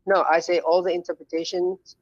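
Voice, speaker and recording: A man's voice: high voice, flat delivery, brisk, no echo, dull audio. A man calling into a debate show, insistent and defensive as he argues his point. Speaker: caller. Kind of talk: heated call-in debate.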